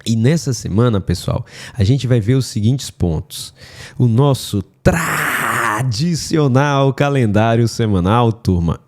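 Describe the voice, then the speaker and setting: A man with a Brazilian Portuguese accent, hoarse from a cold, talking casually through a weekly market analysis and checking in with viewers often. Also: medium pitch, animated, slow pace. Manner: casual, addresses viewers often with filler tags.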